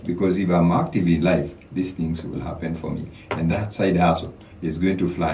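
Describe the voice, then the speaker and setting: A man's voice: low voice, warm tone, roomy sound, distant mic, a little hiss or hum, rough recording. A Kenyan man, a financial coach, giving motivational advice as a radio guest, often repeating words as he starts a thought. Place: radio studio.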